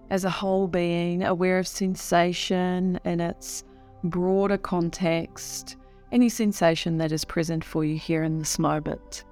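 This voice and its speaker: A woman leading a guided meditation in a soothing, unhurried way with long pauses. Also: on the low side, darkish tone.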